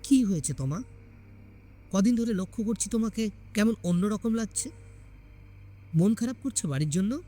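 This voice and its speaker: Guy acting doubtful young husband in a story, emphatic, kinda impatient, higher voice. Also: expressive, normal speed, pauses often, warm tone. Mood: concerned. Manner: emphatic, questioning. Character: skeptical, protective young husband, impatient and scolding.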